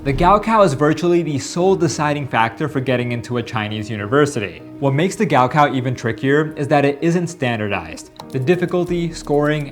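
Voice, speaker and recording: A young man hosting a vlog, narrating in an upbeat, joking way over soft music. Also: medium pitch. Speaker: vlog host. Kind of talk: scripted narration and casual vlog chat.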